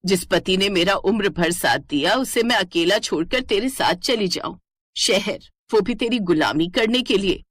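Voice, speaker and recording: A woman voicing an older village mother-in-law, patient but proudly firm, in an animated family story. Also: medium pitch, normal speed, no room sound, studio quality, natural voice. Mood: indignant, firm.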